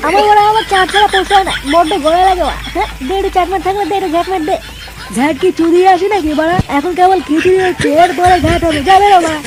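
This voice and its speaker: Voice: very high voice, steady tone, very fast, low-quality recording. An actor voicing a foul-mouthed, hot-tempered cartoon village slacker, crude and exclamatory, in squabbling comedy dialogue. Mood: grumbling.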